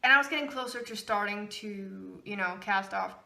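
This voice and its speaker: Chatty Norwegian woman with a bright voice. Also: mid-range voice, normal speed, creaky. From Norway. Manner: chatty, laughs often, rambling with fillers. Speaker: podcast host, knitting designer. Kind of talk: casual chat.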